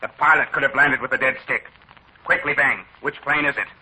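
A man's voice: medium pitch, steady tone, pushed and bright, slightly rough, low-quality recording. An actor playing a cold, formal, scheming male villain leader in a 1940s radio drama. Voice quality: measured, formal, menacing.

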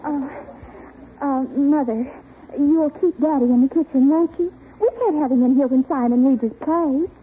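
A woman's voice: sits high, normal speed, close to an everyday voice. An actress playing a stagestruck, earnest American teenage daughter in a 1940s radio sitcom, girlish and easily flustered. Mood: anxious. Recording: tinny, faintly noisy old radio recording.

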